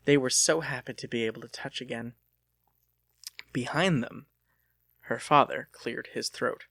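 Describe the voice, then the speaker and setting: A man's voice: medium pitch, unhurried, gravelly, a bit bright, no echo. A man narrating an audiobook as a dramatic scripted reading.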